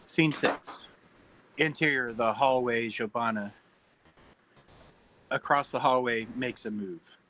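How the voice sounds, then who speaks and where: A man narrates a sci-fi script at a table read. His voice is bright and forceful at a medium pitch, announcing scenes and reading out the stage directions. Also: takes it slowly, long pauses, slight background noise.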